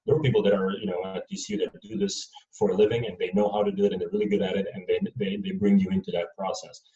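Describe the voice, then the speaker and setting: A man from Serbia speaks as a panelist, in a measured, hesitant way with frequent fillers. His voice sits a little low. He is picked up from afar in a reverberant room, and the sound is muffled. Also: mellow. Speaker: panelist, airline employee. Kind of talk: panel discussion answers. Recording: dull, roomy sound from a distant mic.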